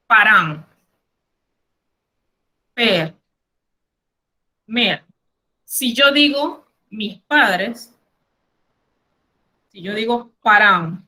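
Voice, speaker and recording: A woman's voice: on the high side, bright, forceful tone. A middle-aged woman teacher with a Panamanian Spanish accent, teaching an online class and carefully explaining word lists. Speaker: French teacher.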